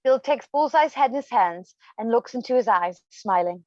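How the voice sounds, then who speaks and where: A woman's voice: a little high, lively intonation, moderate pace, darkish tone, dry recording, muffled recording. A woman narrating scripted stage directions for a play reading.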